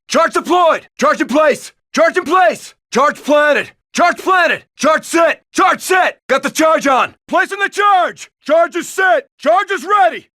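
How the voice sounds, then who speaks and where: Tough SWAT cop, video game barks, clipped commands, forceful edge, close dry recording. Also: male voice, very high-pitched, no echo, full character voice. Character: tough male SWAT police officer in action. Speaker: video game player-character voice. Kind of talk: scripted tactical barks and radio call-outs.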